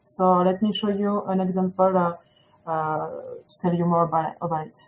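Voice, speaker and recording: A woman's voice: on the low side, steady tone, normal speed, rough recording. A woman who speaks as a presents a school webinar on a video call in English, hesitating often with fillers.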